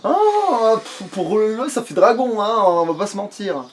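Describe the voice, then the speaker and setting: A man's voice: high voice, very animated, unhurried. A man playing a video game and chatting casually in informal, crude slang. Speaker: let's player. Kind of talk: casual chat while creating a game character.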